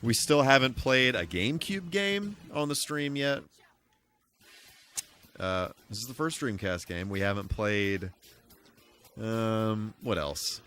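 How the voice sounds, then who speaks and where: A man's voice: fairly low pitch, expressive, takes it slowly, pauses often, a bit bright, slight background noise. A man live streaming a video game, chatting casually with viewers and throwing in playful exclamations and sing-song asides. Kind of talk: casual live gaming commentary and chat with viewers. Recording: music behind. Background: music.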